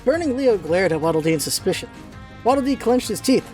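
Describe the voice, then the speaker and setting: Mellow-voiced woman narrating a story. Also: medium pitch, lively intonation, a little fast, faint music behind. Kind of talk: scripted storybook narration for a radio play.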